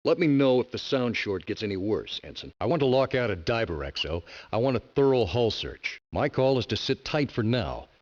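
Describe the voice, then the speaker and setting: An actor playing a tired, curt submarine captain giving orders in a 1990s video game. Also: male voice, medium pitch, big pitch swings, normal speed, no echo. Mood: commanding. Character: weary gruff male submarine captain. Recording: dull lo-fi game audio.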